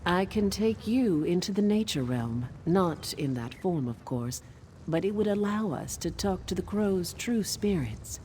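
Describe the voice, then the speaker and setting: A woman playing an earnest, determined forest witch ally in a fantasy video game, with a measured delivery. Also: on the low side, expressive, dark tone, slight background noise. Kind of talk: video game character acting.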